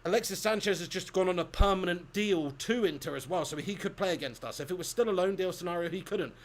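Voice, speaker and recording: A man's voice: sits high, quick pace. A young man hosting a live football watch-along, chatting casually with viewers and laughing often. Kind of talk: casual live commentary reading chat.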